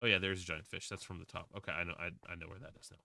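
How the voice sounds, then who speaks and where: Young male streamer in his twenties, casual filler-heavy chatter, bright voice, dry sound. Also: low voice, steady tone, rapid-fire, creaky, no room sound. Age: 20s. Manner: rambling, with many fillers and restarts. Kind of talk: casual livestream chat while playing a game.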